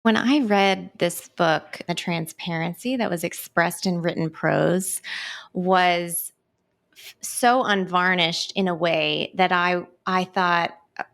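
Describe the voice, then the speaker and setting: A woman hosting a podcast, asking her guests thoughtful, measured questions. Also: on the low side, creaky, a bit bright. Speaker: podcast host. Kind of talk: interviewing guests.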